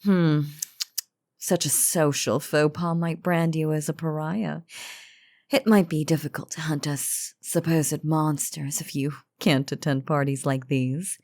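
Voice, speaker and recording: A woman as a slow, low-voiced, taunting vampire noblewoman. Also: fairly even intonation, darkish tone, no room sound, studio quality. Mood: coy, mocking. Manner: slow, teasing, condescending, genteel formal diction. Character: elegant, taunting vampire noblewoman, calm and menacing. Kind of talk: scripted roleplay audio drama monologue.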